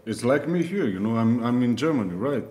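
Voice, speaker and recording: Heated Greek man speaking halting English. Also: mid-range voice, expressive, a little mellow. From Greece. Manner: halting non-native English, repeats himself, heated. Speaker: guest. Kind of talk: casual conversation.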